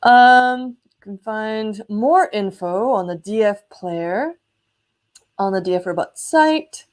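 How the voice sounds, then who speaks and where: A woman's voice: medium pitch, slow. A woman hosting a casual live electronics demo, chatty and enthusiastic as she explains hardware. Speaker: host of an electronics prototyping livestream.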